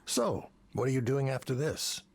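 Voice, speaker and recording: Casting: self-assured older executive in a visual novel, suggestive and persuasive, with creaky, animated delivery. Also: male voice, medium pitch, moderate pace, dry recording. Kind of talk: visual novel game voice acting.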